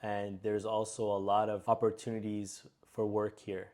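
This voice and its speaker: A young man, answering a mock speaking test in measured, slightly hesitant replies. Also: on the low side, monotone, a little mellow. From Spain, raised in Canada. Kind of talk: answering interview questions.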